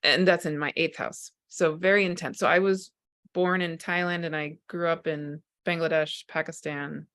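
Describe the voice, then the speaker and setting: A woman's voice: low-pitched, fairly even intonation, moderate pace, a bit bright. A middle-aged woman hosting an interview, openly sharing her personal stories. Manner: open, sharing personal stories with light laughs. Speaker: interview host. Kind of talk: casual personal conversation.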